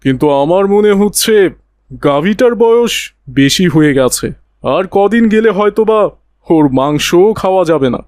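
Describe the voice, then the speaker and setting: A man's voice: sits high, animated, a little slow, a little mellow, no room sound, studio quality. A man voicing a stern, irritable village father in a cartoon, with a grumbling, scolding way of talking. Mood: doubtful. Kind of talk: cartoon voice acting.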